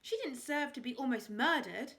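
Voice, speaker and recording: A bossy, scornful young aristocrat girl with a sharp tongue, voiced by a woman reading a storybook. Her voice jumps high above the reader's own and comes out loud. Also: sing-song, normal speed. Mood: accusing.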